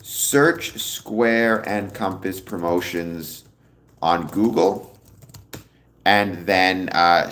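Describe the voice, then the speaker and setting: A young man hosting a casual livestream, answering viewer comments in a relaxed, rambling way with a deep voice. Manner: relaxed, rambling, many ums, answers chat questions. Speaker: livestream host.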